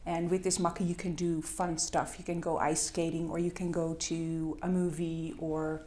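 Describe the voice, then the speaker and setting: Woman, Dutch accent, middle-aged, conference talk, lowish voice, hesitant with fillers. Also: level tone, normal speed, darkish tone. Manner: hesitant, many fillers, self-deprecating. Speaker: conference speaker. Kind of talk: presentation with slides. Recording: echoey hall.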